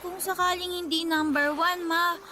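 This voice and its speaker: A shy, worried little girl with a Filipino accent, in a very high, thin voice. She speaks hesitantly and politely, over a noticeable bed of background noise. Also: level tone, moderate pace, pushed and bright. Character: timid, nervous young girl in a pageant.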